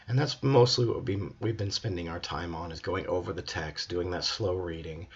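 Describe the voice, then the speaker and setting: A hoarse young man, a drama student, talking earnestly and reflectively in a personal video journal. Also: deep voice, normal speed, highs cut off. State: hoarse, voice hard to hear.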